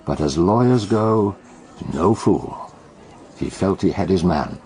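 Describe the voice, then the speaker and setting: A shrewd British detective in a radio mystery. His voice is mellow and creaky, with a widely moving melody. He is dry, deliberate and probing, quick to correct. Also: deep voice, expressive, full character voice. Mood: wry, narrating.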